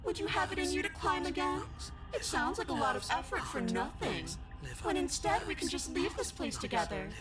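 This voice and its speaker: An actress playing a menacing, possessive captive creature in a horror game, speaking with smug, theatrical mockery. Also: female voice, very high voice, big pitch swings, normal speed, over loud music. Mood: gloating, contemptuous. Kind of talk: video game voice acting.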